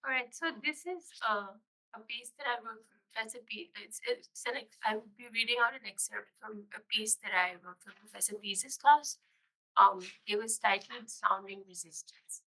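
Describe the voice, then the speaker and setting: Woman student with a sore, strained throat; bright and measured reading of academic prose. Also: a little high, poor audio. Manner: measured, careful reading with small restarts. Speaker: graduate student reader. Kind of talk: reading an academic essay aloud. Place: public library reading event.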